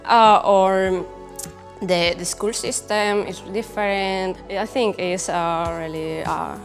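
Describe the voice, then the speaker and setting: A young woman with a Spanish accent talks slowly in a casual interview, often searching for her next word. Her pitch is average for a woman. The room leaves a long reverb on her voice, and music plays behind her. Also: steady tone. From Spain. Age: young adult. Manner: halting, searching for words, giggly. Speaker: comic creator being interviewed. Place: indoor brick-walled studio set.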